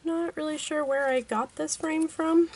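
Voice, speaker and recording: A woman hosting a card-making tutorial, hoarse from a cold, talking in a chatty way with unsure asides. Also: sits high, fairly even intonation, unhurried. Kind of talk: casual craft tutorial narration.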